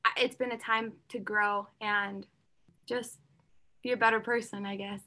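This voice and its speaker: A woman's voice: medium pitch, level tone, a little slow, sharp tone. A young woman singer-songwriter answering interview questions, earnest and open, often saying "like" and "you know". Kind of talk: interview conversation.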